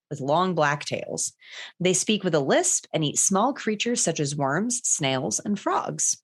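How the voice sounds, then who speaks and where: Low-voiced woman telling folklore casually. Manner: laid-back, swearing, laughing often. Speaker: podcast co-host. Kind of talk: casual storytelling chat.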